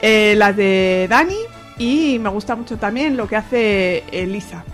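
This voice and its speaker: A woman's voice: mid-range voice, unhurried, soft background music. A woman from co-hosting a casual radio review chat in a colloquial, playful way. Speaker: radio show co-host.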